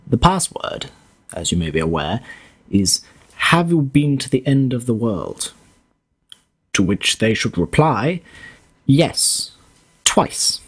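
A man acting a commanding, formal commodore who briefs his agents deliberately in a radio drama. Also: medium pitch, very animated, slightly rough, full character voice. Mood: formal, authoritative.

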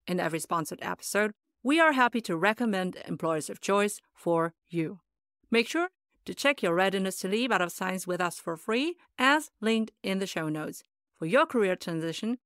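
A woman's voice: medium pitch, a bit bright, no echo, studio quality. A woman hosting a podcast, reading her intro and welcoming a guest with enthusiasm. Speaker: podcast host. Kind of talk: scripted intro and interview.

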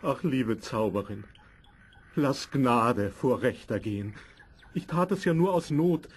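A man's voice: mid-range voice, lively intonation, slow pace, husky. A man playing a devoted, fearful husband in a fairy-tale audio play, speaking in an earnest, dramatic way. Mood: pleading.

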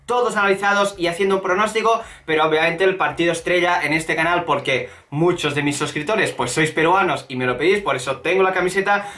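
A man with an accent from Spain hosts a football vlog in a chatty, animated way. His voice sits high and has a bright, punchy edge. The small room around him adds noticeable echo. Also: fairly quick. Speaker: host. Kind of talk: energetic vlog introduction to football analysis. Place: small room at home, indoors. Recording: echoey room.

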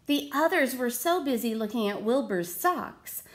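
A woman in her thirties reading a picture book aloud to children, with deliberate theatrical emphasis and questions to her listeners. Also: fairly high pitch, lively intonation. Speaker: read-aloud storyteller. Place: small room at home.

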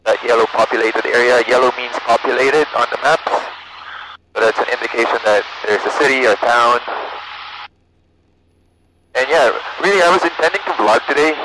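A man's voice: medium pitch, normal speed, long pauses, noisy background. Young man on a cockpit headset. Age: young adult. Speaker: student pilot and vlogger. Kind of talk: casual in-flight narration and radio calls. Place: small propeller plane cockpit in flight. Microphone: aviation headset boom mic. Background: music.

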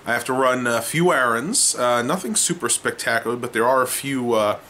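A man's voice: medium pitch, pushed and bright. A young American man chatting casually to viewers in a home vlog. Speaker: vlogger. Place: small home room, indoors. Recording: clearly noisy home camera audio.

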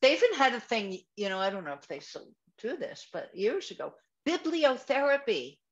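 A woman's voice: on the high side, very animated, moderate pace, highs cut off. A woman chatting on a group video call, casual and prone to trailing off while she searches for names.

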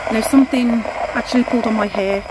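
A woman's voice: fairly high pitch, quick pace, over loud music. A middle-aged woman talking casually as a ghost-hunting vlogger, filming herself outdoors on a handheld camera. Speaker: paranormal investigator vlogger. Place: dark outdoor stone-walled alley at night. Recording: noisy outdoor handheld recording.

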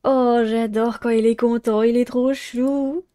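A woman's voice: a little high, steady tone, measured pace, mellow, clear, resonant tone. A young woman, casually commenting on a game and reading its text aloud. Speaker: streamer playing a visual novel.

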